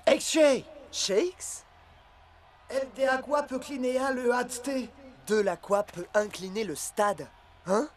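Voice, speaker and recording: A man's voice: extremely high pitch, slow pace. A man in a French cartoon dub playing an earnest young footballer who speaks emphatically. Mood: worried.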